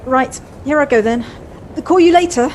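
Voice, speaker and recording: A woman in an audio play voicing a brisk, fretful, well-spoken wife with a wry, slightly snobbish manner, recorded at home on a personal device. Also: sits high, darkish tone, slight background noise, lightly acted. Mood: fond.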